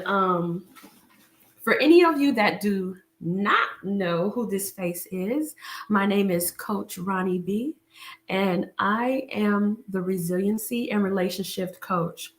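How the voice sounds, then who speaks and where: A woman relationship coach chatting casually to a live audience and reading from her book, her voice a little raspy from a cough, with many restarts. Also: medium pitch, echoey room.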